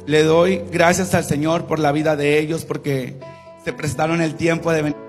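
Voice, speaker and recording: A man's voice: fairly high pitch, flat delivery, normal speed, soft background music. A man in his twenties preaching in Spanish, leading the congregation and praying fervently with repeated invocations. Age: 20s. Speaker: guest preacher. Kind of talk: evangelical sermon and prayer.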